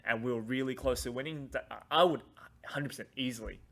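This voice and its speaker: A man's voice: medium pitch, fairly quick, a crowd in the background. A man from Hong Kong hosting a casual podcast chat in an explanatory way. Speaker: podcast host.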